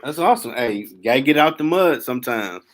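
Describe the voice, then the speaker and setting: A man hosting a casual podcast interview, brisk and slangy in manner. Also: mid-range voice, lively intonation. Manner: brisk, laid-back, slangy. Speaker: podcast host.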